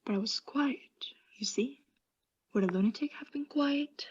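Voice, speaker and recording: A woman playing an obsessed mad murderer in a theatrical radio play, confessing intensely in hushed whispers and frantic outbursts. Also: high voice, moderate pace, slightly rough, highs cut off. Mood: haunted, intense.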